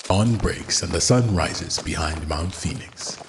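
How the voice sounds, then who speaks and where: A man's voice: low-pitched, a little slow. A man narrating a scripted audio drama as its storyteller. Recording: long reverb, noisy ambience behind.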